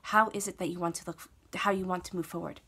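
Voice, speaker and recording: A woman with a cold, sounding stuffed up, reading tarot cards alone in a steady, reassuring way, often repeating phrases and checking in with "okay?". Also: fairly low pitch, fast, husky. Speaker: tarot reader. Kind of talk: solo tarot reading to camera.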